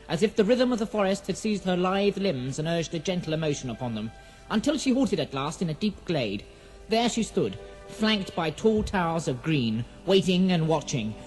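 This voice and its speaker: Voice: medium pitch, a little mellow, poor audio. A narrator reading a scripted fantasy audio drama in a poetic, dramatic storybook manner.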